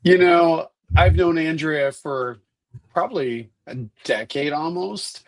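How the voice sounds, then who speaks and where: Fifties male candidate, husky and stuffy, higher voice, dry video-call sound. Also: slow, no echo, poor audio. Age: 50s. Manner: chatty, restarts and repeats words. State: stuffy from allergies. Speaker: state senate candidate. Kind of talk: virtual town hall answers.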